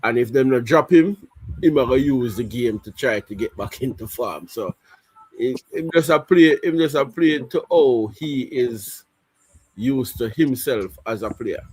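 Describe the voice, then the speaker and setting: A man's voice: medium pitch, expressive, unhurried, a little mellow, husky, no echo, rough recording. Hesitant man analysing cricket. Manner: hesitant, many restarts, talks it through. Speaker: cricket pundit.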